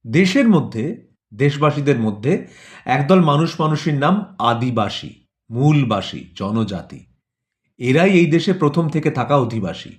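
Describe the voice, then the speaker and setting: A man's voice: mid-range voice, very animated. Man narrating a satirical tale. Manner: rhetorical, measured phrasing with repetition and listed words. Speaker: narrator of an audio play. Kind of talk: scripted satirical storytelling narration.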